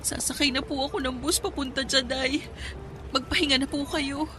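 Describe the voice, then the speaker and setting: An actress playing a gentle, downtrodden young housemaid in a Filipino radio drama, with a soft, emotional, pleading delivery. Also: female voice, high voice, fairly even intonation, moderate pace, noisy background, lightly acted. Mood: tearful.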